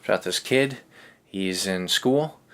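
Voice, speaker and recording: Low voiced guy doing a casual read aloud for kids, easygoing and chatty. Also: takes it slowly, a bit bright. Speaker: host of a children's read-aloud show. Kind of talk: casual story reading with chatty asides.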